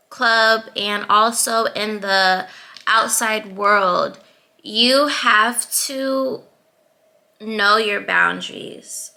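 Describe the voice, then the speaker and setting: Young female vlogger, sweet high voice with crisp brightness, frank advice, easy laughs. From Atlanta, US. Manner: assertive, chatty, laughs between points. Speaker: YouTuber. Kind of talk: casual advice vlog.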